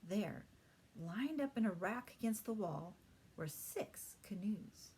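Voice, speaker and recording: A woman teacher narrating a children's storybook aloud in an expressive storytelling manner. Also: mid-range voice, slow, slight background noise. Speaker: third-grade teacher reading aloud.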